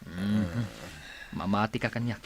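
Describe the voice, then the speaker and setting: A man's voice: fairly low pitch, a little slow. A man playing a loyal, teasing young cousin and confidant in a radio drama, with a Filipino accent. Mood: urging, exasperated.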